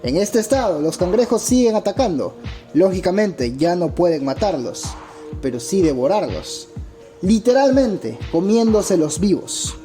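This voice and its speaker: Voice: mid-range voice, very animated, measured pace, warm tone. A narrator giving a scripted documentary-style account with a dramatic, opinionated storytelling manner. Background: background music.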